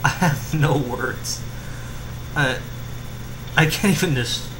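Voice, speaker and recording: A man reading a story and chatting casually in an amateur, halting way, often repeating his words. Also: on the high side. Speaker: fan fiction reader. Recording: roomy echo with clear background hum.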